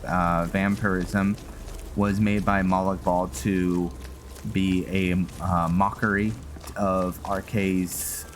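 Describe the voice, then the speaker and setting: A man hosting a roleplay game series with casual, halting commentary as he explains lore. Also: low-pitched, a little hiss or hum. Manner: halting, thinking aloud.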